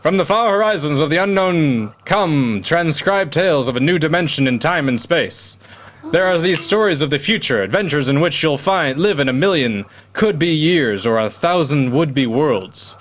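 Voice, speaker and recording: A man narrating in a theatrical, deliberate style, like an announcer of vintage radio drama. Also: fairly high pitch, animated.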